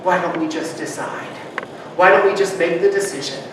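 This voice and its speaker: High-voiced male pastor in an echoing church. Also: moderate pace. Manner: swings from loud rousing calls to soft hushed reflection; conversational, joking with the congregation. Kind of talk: sermon. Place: church sanctuary. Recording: distant mic, strong room echo, background noise.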